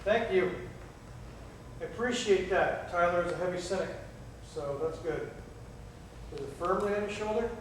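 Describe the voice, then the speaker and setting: A man investigating a haunted theatre, calling out slowly and politely to a spirit and asking it for a sign. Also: high-pitched, slight background noise. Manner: slow, coaxing and polite. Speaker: paranormal investigator. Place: large old theatre, indoors. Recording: echoey hall from far off.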